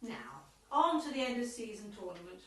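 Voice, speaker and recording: A woman's voice: medium pitch, big pitch swings, normal speed, a bit bright. A woman playing a prim, bossy, officious tennis club chair in an amateur comedy radio play. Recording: roomy, echoey, distant home recording.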